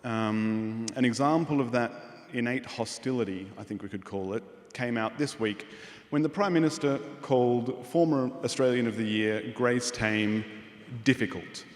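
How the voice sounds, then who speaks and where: A man's voice: medium pitch, moderate pace. Man moderating a panel in a hall. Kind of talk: hosting a panel. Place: large hall with audience. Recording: hall reverb.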